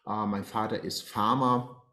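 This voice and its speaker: Iran-born man in his forties, guest on a video call; halting, searching for words; low voice in an echoey room. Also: fairly even intonation, bright, forceful tone. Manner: hesitant, many fillers and restarts. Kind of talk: personal life story in interview. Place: bright room at home, indoors. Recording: roomy echo.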